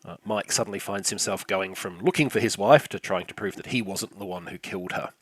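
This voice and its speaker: Older guy reviewing a movie, lowish voice, kinda croaky, dry and sarcastic, lots of uhs, dead dry sound. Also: brisk, no room sound. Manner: dry sarcastic delivery with frequent "uh" fillers. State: slightly croaky from illness. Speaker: film reviewer host. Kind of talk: scripted review narration.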